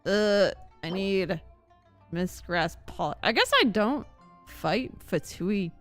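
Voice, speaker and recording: A woman's voice: mid-range voice, expressive, slow pace. A woman chatting casually and thinking aloud as she livestreams a game. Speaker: game streamer. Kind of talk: casual livestream gaming chat. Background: game music.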